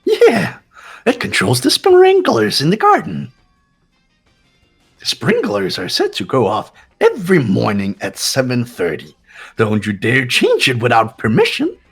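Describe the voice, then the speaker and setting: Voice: medium pitch, wildly swooping pitch, measured pace, gravelly, husky, soft background music, exaggerated voice. A performer voicing a gleeful, sinister robot bear mascot in a fan-voiced game, taunting in a mock-cute way. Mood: bossy teasing.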